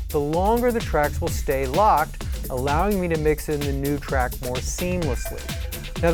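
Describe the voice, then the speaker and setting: A man calmly explaining step-by-step techniques in a tutorial, as an instructor. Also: on the high side. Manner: calm, explanatory. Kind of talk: tutorial narration. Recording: faint music behind.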